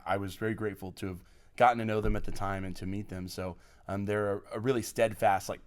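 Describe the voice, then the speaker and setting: A man from Oklahoma, a guest on a podcast, telling his life story with enthusiasm and plenty of fillers. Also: fairly low pitch. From Oklahoma, USA. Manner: enthusiastic, effusive, many fillers. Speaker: podcast guest, college program lead. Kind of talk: podcast interview, personal storytelling.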